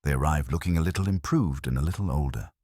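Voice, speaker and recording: Radio play role: composed, prim older woman male performer, dry and exact, very low register, slightly husky. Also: a little mellow, no echo. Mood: dry, guarded. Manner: precise, measured, formal. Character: composed, dryly witty, prim older woman, a music-loving typist.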